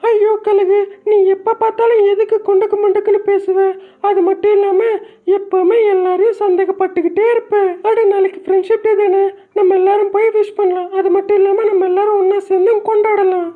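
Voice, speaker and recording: A man's voice: very high-pitched, little pitch movement, fairly quick, narrow, tinny audio, soft background music, voice pushed up. A man voicing a small, chirpy, outspoken bird friend in a cartoon story. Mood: scolding.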